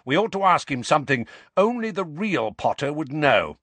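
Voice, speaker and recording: A growling old wizard veteran, acted by a male audiobook reader, suspicious and short-tempered. He barks out commands gruffly, with lively swings in pitch, recorded very dry and close. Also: moderate pace, no echo, heavily acted. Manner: barking, commanding.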